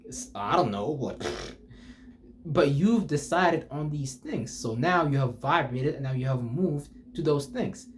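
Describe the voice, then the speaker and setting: A man's voice: mid-range voice, slight background noise. A man giving an off-the-cuff, rambling motivational talk as a spiritual speaker, informal, slangy and profane, repeating himself for emphasis and putting rhetorical questions.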